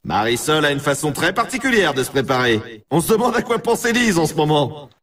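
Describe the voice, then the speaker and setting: A male actor voicing an excitable snowboard race announcer in a video game, delivering scripted commentary with a showman's swoops and stretched words. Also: on the high side, a little fast, recorded from a distance. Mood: hyped, confiding.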